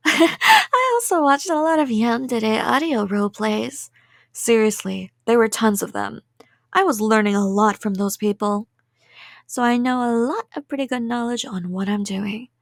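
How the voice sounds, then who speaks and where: A woman's voice: a little high, expressive, measured pace, creaky, dry recording, studio quality. A woman playing an obsessed young yandere kidnapper in an intimate ASMR roleplay, rambling coyly and sweetly with menace. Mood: cheerfully obsessed. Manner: rambling, giggly, coy, threatening in a sweet tone.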